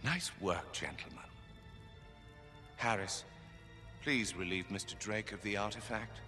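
Game villain: suave, condescending menace, sardonic politeness, lowish pitch over music. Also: male voice, measured pace, bright, forceful tone. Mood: smug menace. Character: smug, menacing male villain in a suit. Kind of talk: video game cutscene acting.

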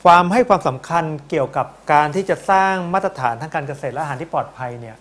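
Higher-voiced male TV interviewer. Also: expressive, fast talker, a bit bright. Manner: polite, frequent backchannel acknowledgements. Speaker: TV programme host, interviewer. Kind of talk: current-affairs interview. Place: TV studio.

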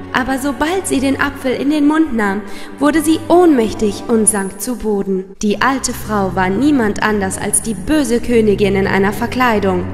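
A woman tells a scripted fairy tale for children. Her voice sits a little high, in a long reverb over clear background music. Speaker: storyteller.

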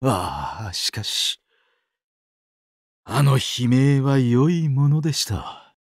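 An actor playing a sadistic, weeping angel of doomsday in a mobile game, exaggeratedly polite and dramatic, swinging between sorrow and glee. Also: male voice, medium pitch, sing-song, slow, no room sound, clean studio sound. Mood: mournful, menacing. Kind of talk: Japanese mobile game character acting.

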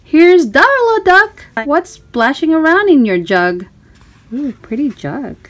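A woman storytime host reading a picture book aloud for children, with expressive, sing-song questions and exclamations. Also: sits high, slow pace, soft background music.

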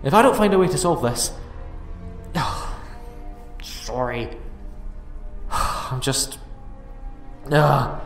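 A man's voice: medium pitch, natural voice. A man playing an anxious, self-doubting time-travelling alien hero in an audio drama. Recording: echoey reverberant space, faint music.

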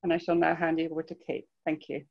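A woman's voice: low voice, flat delivery, fast, gravelly. Woman, webinar host, polite formal intro, dull video call sound. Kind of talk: scripted introduction. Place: home room, video call.

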